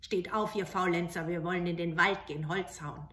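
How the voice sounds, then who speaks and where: A woman's voice: fairly low pitch, steady tone, normal speed, a bit bright, slight background noise, close to an everyday voice. A woman voicing a harsh, heartless fairy-tale stepmother with a stern, commanding manner. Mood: scolding. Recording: roomy home recording.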